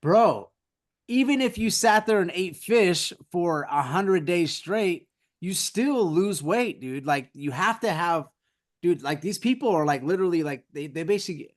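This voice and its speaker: A man in his forties with a slightly higher voice, hosting a casual podcast. He talks loosely, packing his sentences with fillers. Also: expressive, a little slow. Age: 40s. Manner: loose, filler-heavy talk.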